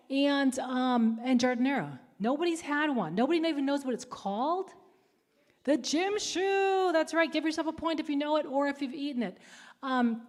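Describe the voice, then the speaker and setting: A woman author giving a playful book talk, quizzing the audience and telling stories in a lively way. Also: on the high side. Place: public library event space. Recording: reverberant hall.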